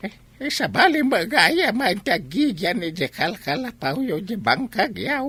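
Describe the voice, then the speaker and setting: A woman's voice: medium pitch, very animated, unhurried, a bit bright. A woman with a Filipino accent playing an aggrieved, gossiping village neighbour in a comedy radio drama, full of complaining exclamations.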